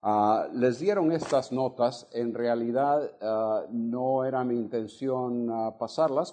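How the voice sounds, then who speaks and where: An older man from Honduras with a faded Honduran accent, a guest preacher teaching a Bible study to a congregation, measured, stretching key words and putting questions to the listeners. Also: mid-range voice, mellow. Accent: Honduran Spanish, faded. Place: church sanctuary.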